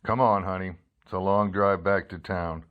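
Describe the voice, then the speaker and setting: An actor playing a hard-boiled, world-weary investigative reporter in an old-style radio drama, clipped, wry and matter-of-fact. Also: male voice, low-pitched, fairly even intonation, moderate pace, lo-fi sound, slight background noise, natural voice. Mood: gentle, firm. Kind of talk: old-time radio detective drama acting and narration.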